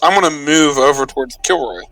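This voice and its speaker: A man chats as a player in a tabletop game, informal and a little hesitant. His slightly high voice sounds a bit away from the mic, with faint music behind. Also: a little fast, pushed and bright, no echo, distant mic, rough recording. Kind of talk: casual tabletop roleplay chat.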